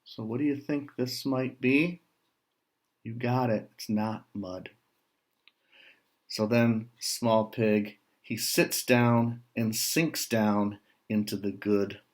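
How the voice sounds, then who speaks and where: An American man reading a picture book aloud to children, deliberate, with chatty asides and rhetorical questions. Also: on the low side.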